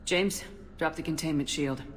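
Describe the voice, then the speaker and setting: A low-voiced female military commander played by a woman actor from a sci-fi game. She is firm, steady and clipped, giving orders with authority over faint noise. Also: normal speed, lightly acted. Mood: firm, wary. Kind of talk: video game voice acting.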